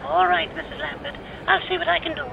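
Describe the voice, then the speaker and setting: Very high, creaky switchboard operator. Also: fairly even intonation, fast talker, heavily acted. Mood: polite. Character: polite, unhurried female embassy switchboard operator. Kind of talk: radio drama acting. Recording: dull, lo-fi telephone sound.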